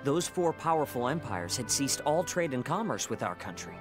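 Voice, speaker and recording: An actor playing a solemn narrator, telling a game's war prologue in a measured, formal way. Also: male voice, medium pitch, big pitch swings, lightly acted. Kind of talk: scripted game prologue narration. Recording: game audio with loud music.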